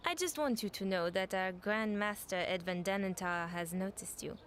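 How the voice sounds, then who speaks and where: An actress playing a discreet, formal envoy of a magic school in a fantasy game, measured and diplomatic. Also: female voice, mid-range voice, fairly bright tone, a little hiss or hum, lightly acted. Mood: formal, gracious. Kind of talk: scripted fantasy game cutscene acting.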